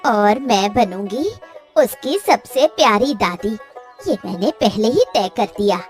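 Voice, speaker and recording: A woman voicing an excitable, affectionate village family woman in a Hindi cartoon drama, with an emotive, exclamatory delivery. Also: medium pitch, slow pace, faint music behind. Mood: happy.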